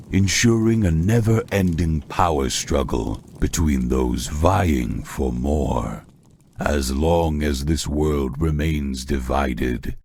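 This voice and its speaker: An actor playing a stern, authoritative older demon mentor in a video game, speaking gravely and deliberately. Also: male voice, very deep voice, a little mellow, slight background noise, full character voice. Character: gruff older male demon coach and narrator, stern, measured. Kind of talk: dramatic scripted narration and game character acting.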